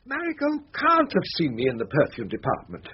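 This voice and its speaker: An actor playing a flustered, cowardly middle-aged upper-class English lawyer in a British drama, pleading and stammering. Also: male voice, fairly high pitch, huge pitch swings, a little fast, breathy edge, exaggerated voice. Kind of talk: British radio drama acting. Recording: dull lo-fi drama recording, light hiss.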